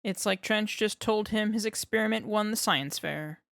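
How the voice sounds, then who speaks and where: A woman reading fan fiction aloud in a steady, measured narration. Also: medium pitch, gravelly, dry recording, studio quality. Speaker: podfic reader.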